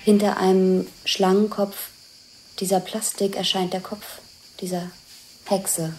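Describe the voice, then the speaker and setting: An actress playing an observer who precisely and plainly describes scenes one by one in a radio drama. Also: female voice, mid-range voice, level tone, slow, dark tone, a little hiss or hum, natural voice, poor audio. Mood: matter-of-fact. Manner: matter-of-fact, precise.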